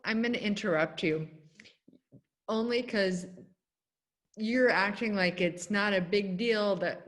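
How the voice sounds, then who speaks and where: A woman moderating a lecture, asking the guest direct, friendly questions. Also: medium pitch. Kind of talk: interviewing a guest.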